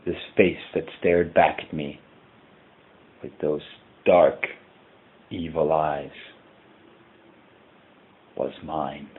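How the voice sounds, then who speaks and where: A man playing a haunted, dread-filled character who records a horror audio log in grave, deliberate, formal language, with a husky voice. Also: extremely low pitch, steady tone, narrow, tinny audio, a little hiss or hum, heavily acted. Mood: bleak, resigned. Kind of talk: scripted first-person horror narration.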